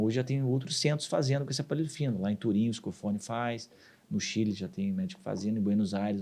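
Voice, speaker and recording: Fast-talking gravelly man. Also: mid-range voice. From southern Brazil. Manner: animated storyteller, acts out quoted dialogue. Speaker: urologist guest. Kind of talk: casual podcast interview.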